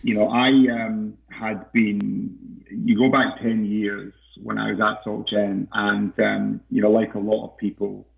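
A man as a podcast guest in casual interview talk, hesitant with many fillers and restarts, his voice croaky. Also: on the low side, level tone, takes it slowly, hardly any pauses. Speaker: podcast guest, investment researcher. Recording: tinny phone-like line.

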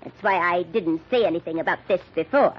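An American actress playing a middle-aged, bitter and commanding murderer in an old-time radio mystery. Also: female voice, fairly low pitch, brisk, breathy edge, highs cut off. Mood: cool, menacing. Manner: commanding, sardonic. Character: bitter, scheming older woman, a cornered murderer.

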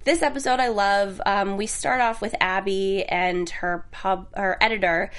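A woman's voice: medium pitch, steady tone, measured pace, a bit bright, clean tone. A woman hosting a casual TV after-show, a little congested from a cold, chatty and enthusiastic. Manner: chatty, enthusiastic, many fillers. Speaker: host.

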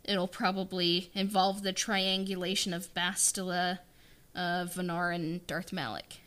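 A woman co-hosting a podcast, chatting casually and haltingly as she thinks aloud in fragments. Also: on the low side, level tone, a bit bright, clear, resonant tone. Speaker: podcast co-host. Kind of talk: casual behind-the-scenes podcast chat.

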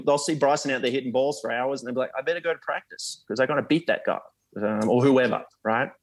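A man who plays golf professionally, talking casually on a panel with many fillers and restarts. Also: medium pitch. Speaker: professional golfer.

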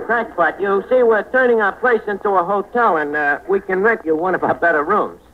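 A man's voice: high-pitched, brisk, close to an everyday voice. A man acting a brash, wisecracking tavern keeper in a 1940s American radio comedy, with a hemming, word-mangling patter. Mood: dismissive. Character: brash, fast-talking male tavern keeper running a shabby hotel. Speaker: comedy show lead. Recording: scratchy, tinny old radio recording, loud noise.

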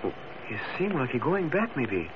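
A man's voice: mid-range voice, big pitch swings, moderate pace, lightly acted. A man playing a loyal, alert manservant driver who speaks clipped broken English in a 1940s radio serial. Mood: alert, puzzled. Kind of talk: 1940s radio adventure acting. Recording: muffled, hissy old radio recording.

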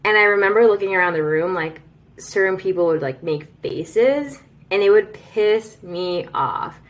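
A woman's voice: medium pitch, animated, unhurried. A woman hosting a podcast, chatting frankly and telling personal stories. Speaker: podcast host. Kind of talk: casual conversation.

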